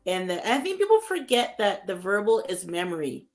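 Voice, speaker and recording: A woman, chatting on a panel in a relaxed, affirming way. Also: mid-range voice, moderate pace. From born in England, raised in Canada. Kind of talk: casual panel conversation.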